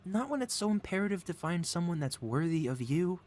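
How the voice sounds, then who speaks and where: A man playing a possessive mad scientist supervillain boss in an ASMR audio roleplay, talking in a soothing, coaxing, flattering way as if innocent. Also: high voice, brisk. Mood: soothing, reassuring. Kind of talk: ASMR audio roleplay, intimate one-sided dialogue.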